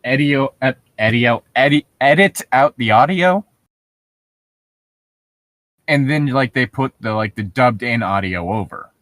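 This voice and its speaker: A man's voice: mid-range voice, measured pace, long pauses, a bit bright, no echo. Man in casual chat, dry close sound. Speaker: co-host.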